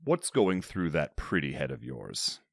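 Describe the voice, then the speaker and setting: An actor playing a devoted, protective military officer in a space audio drama. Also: male voice, very deep voice, big pitch swings, a little slow, a bit bright, dry recording, studio quality, full character voice. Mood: wry.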